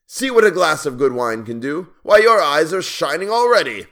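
A man's voice: fairly high pitch, very animated, moderate pace, fairly bright tone, voice pushed up. Man as a roaring, boisterous tyrant king. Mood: boisterous mirth. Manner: roaring, imperious, forced hearty laughter. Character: boisterous tyrant king, cruel joker, impatient bully. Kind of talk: storybook narration character voice.

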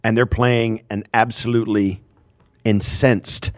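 A man's voice: fairly low pitch, level tone, unhurried, no echo, clean studio sound. An older man, a former player co-hosting a sports podcast, chatting in an easygoing, self-deprecating way. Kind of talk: casual sports chat.

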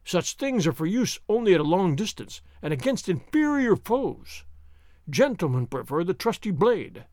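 A man voicing a blustering, boastful soldier sergeant who taunts and sneers loudly in an audiobook reading. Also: high voice, very animated, normal speed, no room sound, clean studio sound. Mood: taunting.

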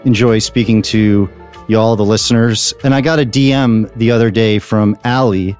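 A man hosting a podcast, chatting casually and interviewing guests in a relaxed conversational way. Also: on the low side, clean tone, faint music behind. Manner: quick, conversational, thanks guests. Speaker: podcast host.

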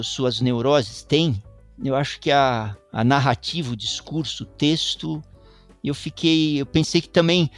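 A middle-aged man with a Paulistano Brazilian Portuguese accent, voice sitting at an ordinary male pitch. He muses slowly and thoughtfully, circling back on his words with restarts and little tag questions. Faint music hums underneath. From São Paulo, Brazil. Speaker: guest musician. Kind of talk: reflective interview talk.

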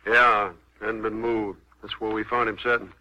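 An actor playing a folksy, plain-spoken county sheriff in a 1950s radio western, with drawn-out country phrasing. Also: male voice, fairly low pitch, flat delivery, pushed and bright. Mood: unhurried, grave. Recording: dull vintage 1950s radio recording.

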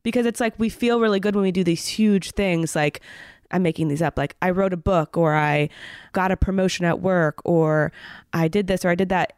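A woman's voice: lowish voice, moderate pace, darkish tone, clear, resonant tone, dry recording. Woman cohost, teasing and giggly, chatty, very dry mic. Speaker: podcast co-host. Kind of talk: casual chat with a friend.

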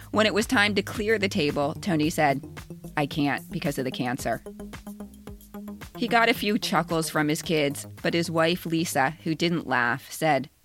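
A woman in her fifties, a podcast essayist, reading her own personal story with deadpan humor. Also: low voice. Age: 50s.

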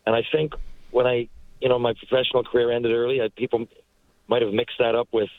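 A man's voice: mid-range voice, level tone, quick pace, dry recording, narrow, tinny audio. A man in his forties from Newfoundland, a guest who talks in a heartfelt, rambling way with many restarts. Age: 40s. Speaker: guest, retired hockey player. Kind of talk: casual interview talk.

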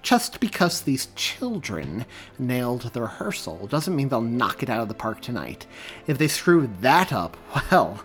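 A man's voice: mid-range voice, big pitch swings, moderate pace, few pauses, soft background music, natural voice. A man voicing a stern, scornful old woman, a commanding theater director in an audiobook, with clipped delivery. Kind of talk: audiobook character dialogue.

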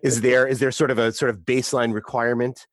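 A man's voice: mid-range voice, flat delivery, quick pace, no echo, studio quality. A man co-hosting an interview, asking follow-ups hesitantly with repeated words.

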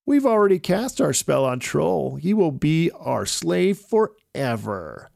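A man voicing an evil, scheming female witch in a children's story, with theatrical, drawn-out villainy. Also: fairly high pitch, big pitch swings, gravelly, a little mellow, dry recording, clean studio sound, pitched above the performer's own voice, exaggerated voice. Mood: menacing.

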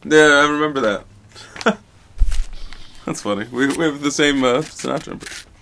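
A man's voice: fairly high pitch, normal speed, creaky, sharp tone, slight background noise. A man co-hosting a podcast, chatting goofily and laughing often. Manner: giggly, breaks into laughter often. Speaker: podcast co-host. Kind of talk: goofy casual chat, joking around.

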